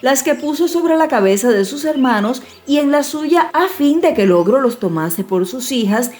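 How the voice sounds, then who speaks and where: A woman storyteller giving an expressive, measured narration of a dramatized fairy-tale audiobook. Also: high-pitched. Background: music.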